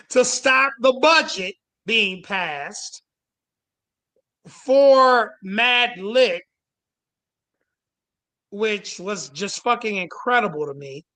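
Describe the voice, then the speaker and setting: Slow, bright, forceful host voice. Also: mid-range voice. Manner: profane, emphatic street-casual talk. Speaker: host moderating a panel call. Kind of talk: casual, emphatic panel discussion.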